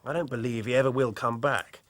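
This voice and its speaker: A man acts out a young English university sportsman in a radio play. He speaks with hearty public-school politeness and earnest worry, at a middle pitch in a dry, close recording. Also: steady tone, brisk, no room sound, lightly acted. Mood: worried. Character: earnest young university rugby captain, worried and loyal. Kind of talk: audio drama acting.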